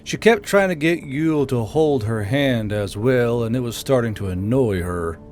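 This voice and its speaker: A man's voice: mid-range voice, expressive, moderate pace, darkish tone, soft background music. A man reading scripted third-person narration for a fantasy audiobook.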